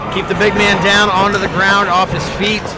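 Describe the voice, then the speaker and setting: A man giving color commentary on a live wrestling match in a measured, somewhat hesitant analytical way. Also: high-pitched, little pitch movement, loud music behind the voice. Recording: loud crowd and noise in arena. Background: loud live crowd.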